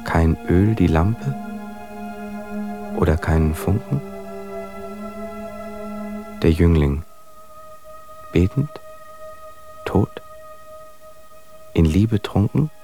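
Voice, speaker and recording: An actor playing an anguished romantic poet, reciting feverish verse with brooding solemnity and a gravelly voice in a radio drama. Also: male voice, deep voice, expressive, extremely slow, pauses often, music in the background, full character voice.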